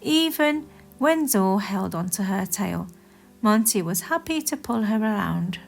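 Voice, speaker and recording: A woman narrates a children's story with a lively, swinging intonation and an unhurried pace. Her read-aloud is expressive and deliberate, with music playing underneath. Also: medium pitch, creaky.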